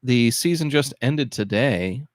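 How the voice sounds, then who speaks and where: Brief: male podcast co-host, smooth and clear, dry close mic, meandering casual talk. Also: medium pitch, animated, measured pace, dry recording. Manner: rambling, hesitant with many fillers. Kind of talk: casual chat.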